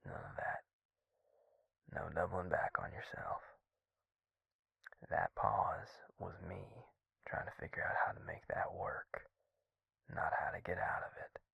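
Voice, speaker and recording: A man playing a gentle, courteous Southern vampire in an intimate audio roleplay, with a slow, soothing drawl and Southern US accent. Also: level tone, no echo, dull audio, full character voice. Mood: concerned, soothing. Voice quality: low, gravelly, breathy near-whisper. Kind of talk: intimate audio roleplay acting addressed to the listener.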